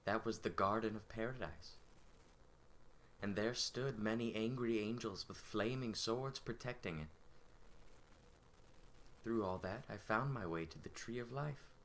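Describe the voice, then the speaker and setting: A man acting as a well-spoken manservant in his thirties, low and bright in tone. He speaks a stage monologue like a poetic story, bitter and full of longing. Faint noise sits behind the voice. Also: rough recording. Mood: yearning. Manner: measured, poetic storytelling delivery.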